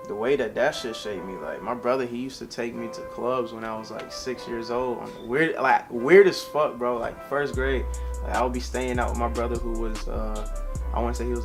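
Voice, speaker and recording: A man's voice: medium pitch, creaky. A man, chatting casually as an interview guest, laid-back and slangy, swearing freely. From South Carolina, US. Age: early 20s. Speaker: interview guest, young entrepreneur.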